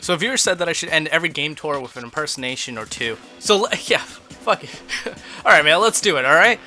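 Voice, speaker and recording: A man's voice: fairly high pitch, expressive, fairly quick, sharp tone. A man hosting a casual, rambling game tour commentary in a chatty, self-deprecating way. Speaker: video host. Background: background music.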